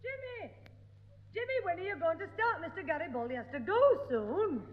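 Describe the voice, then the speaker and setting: Fussing mum, very high lively voice, echoey old radio. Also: female voice, a little fast. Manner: calling, fussing. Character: fussing mother of a schoolboy. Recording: echoey old radio studio recording, dull.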